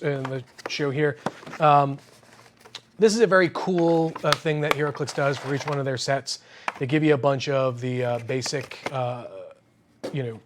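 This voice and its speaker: A man's voice: medium pitch, background noise. A man hosting an unboxing show in casual, slangy, enthusiastic chat full of exclamations.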